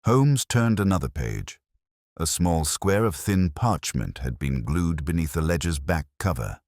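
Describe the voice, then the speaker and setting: A man reading a scripted first-person detective story in a measured, literary manner. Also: a bass voice, big pitch swings, a little mellow, no echo, studio quality. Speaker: audiobook narrator.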